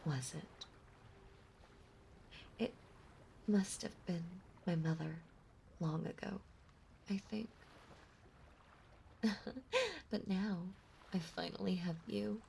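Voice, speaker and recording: A woman playing a possessive, lovesick mermaid monster girl in an intimate audio roleplay, breathy and often whispering, with a doting, clingy delivery. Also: on the low side, a little mellow, slight background noise. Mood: wistful, clingy. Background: ocean ambience.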